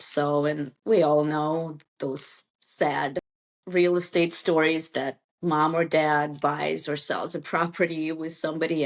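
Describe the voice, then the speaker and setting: A woman whose first language is Russian, chatting casually and informally as a real estate agent being interviewed. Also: deep voice.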